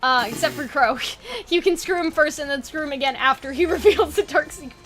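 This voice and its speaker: Casting: woman host, high-pitched and bright, casual and animated, rambling off the cuff, playfully dismissive. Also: fairly quick. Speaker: video host. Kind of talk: casual brainstorming chat.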